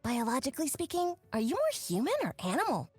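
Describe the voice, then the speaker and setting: A woman's voice: high voice, big pitch swings, fast talker, breathy edge, dry recording, full character voice. A woman voicing a curious, earnest young scholarly girl for a video game. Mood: curious, admiring. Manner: inquisitive, earnest. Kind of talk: video game character voice acting.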